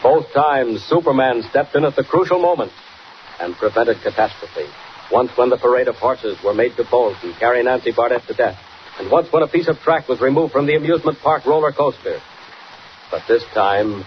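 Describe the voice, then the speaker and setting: An American man narrating a 1940s radio serial in an emphatic, declamatory, dramatic style. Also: mid-range voice. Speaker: announcer, narrator. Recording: muffled, hissy old 1940s radio recording.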